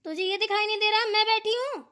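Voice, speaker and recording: A woman's voice: extremely high pitch, fairly even intonation, quick pace, pushed and bright. A woman voicing a domineering older mother-in-law in a family cartoon, scolding and nagging with sarcastic questions. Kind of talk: amateur Hindi family cartoon dialogue.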